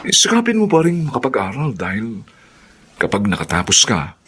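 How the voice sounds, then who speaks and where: A man's voice: lowish voice, wildly swooping pitch, fairly quick, a bit bright, slightly rough, heavily acted. A man playing a friendly, encouraging young friend in a Filipino radio drama, offering advice. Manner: friendly, advising.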